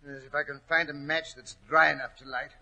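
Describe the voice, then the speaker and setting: An actor playing a nervous, blustering gentleman sidekick, stammering and fussing through a 1940s radio drama. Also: a little high, flat delivery, a little fast, gravelly, full character voice. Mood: grumbling awe. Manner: stammering, fussy, exclamatory. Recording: noisy old 1940s radio recording.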